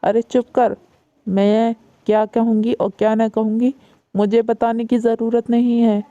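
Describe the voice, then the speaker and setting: A woman's voice: fairly high pitch, little pitch movement, measured pace, dark tone, no room sound, close to an everyday voice. A woman voicing a stern, scornful mother-in-law who scolds and dismisses the family around her. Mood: scolding. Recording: dull lo-fi recording.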